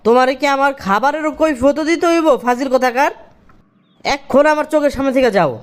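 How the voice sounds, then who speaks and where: A man's voice: very high voice, quick pace. A man playing an irritable, scornful two-timing husband and office boss in a cartoon drama, curt in manner. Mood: harsh, angry. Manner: scolding, curt.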